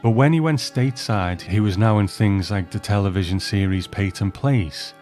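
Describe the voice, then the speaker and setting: A man with a low voice presents scripted commentary on old screen drama. He speaks as a host, chatty and measured, like someone telling a story he has prepared. Soft music plays behind him. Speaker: podcast host. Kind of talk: scripted film and television commentary.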